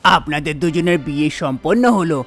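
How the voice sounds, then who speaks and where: A man's voice: on the high side, steady tone, brisk, fairly bright tone, soft background music. A man voicing a fussy priest and astrologer in a cartoon story, making grave pronouncements and explaining things with repeated exclamations. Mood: pleased, officiating. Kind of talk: Bengali cartoon story dialogue.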